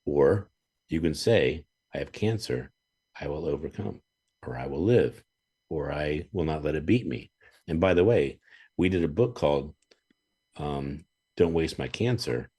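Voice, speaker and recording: A man hosting a podcast, chatting casually and telling animated stories with chuckles and frequent throat-clearing. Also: rumbling low, a little slow. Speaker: podcast host. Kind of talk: casual interview chat.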